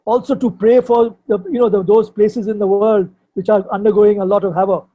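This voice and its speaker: High, husky older man. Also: little pitch movement, a little fast, narrow, tinny audio. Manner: hesitant, repetitive, searching for words. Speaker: poet and sculptor, guest at a book launch. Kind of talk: informal explanation at an online book launch.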